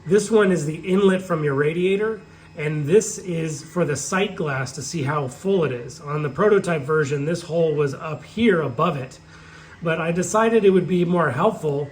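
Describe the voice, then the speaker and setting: A man who is sick and has nearly lost his voice, explaining car parts step by step in his workshop. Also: fairly high pitch. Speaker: shop owner showing parts. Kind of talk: casual product update. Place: workshop with a 3D printer running. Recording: faint printer hum behind. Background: faint printer noise.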